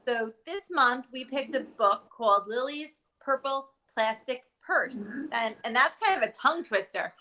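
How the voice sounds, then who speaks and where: A woman's voice: fairly high pitch, lively intonation, unhurried, hardly any pauses, pushed and bright, slight background noise, rough recording. A woman speaking expressively and directly to children as a teacher doing a storybook read-aloud. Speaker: teacher reading aloud to pupils.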